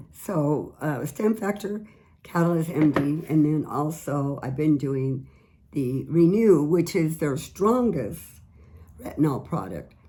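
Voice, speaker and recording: A middle-aged woman, a little raspy today, chatting earnestly about skin care products she sells. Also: fairly low pitch, warm tone. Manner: chatty, earnest, repeats words. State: slightly raspy voice. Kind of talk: casual product tips vlog.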